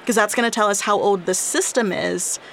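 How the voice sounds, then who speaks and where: A woman's voice: medium pitch, brisk, vocal fry, fairly bright tone, a little hiss or hum, rough recording. Chatty young woman explaining astronomy. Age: 20s. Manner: chatty, playful, explains with lots of fillers. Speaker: podcast co-host. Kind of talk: casual science podcast chat.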